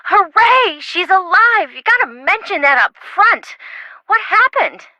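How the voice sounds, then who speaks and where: An actress playing an excitable, wisecracking young woman in a video game. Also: very high-pitched, slow pace, no echo, exaggerated voice, low-quality recording. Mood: animated, joking. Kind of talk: scripted video game dialogue.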